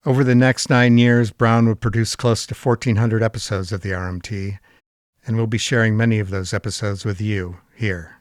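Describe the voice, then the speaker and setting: A man's voice: lowish voice, no echo, studio quality. A man reading a scripted, informative introduction as a series presenter.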